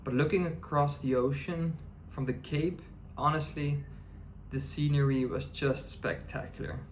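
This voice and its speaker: A man whose first language is Dutch, narrating his travel vlog in a calm, measured, scripted voice-over. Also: on the high side. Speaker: travel vlogger.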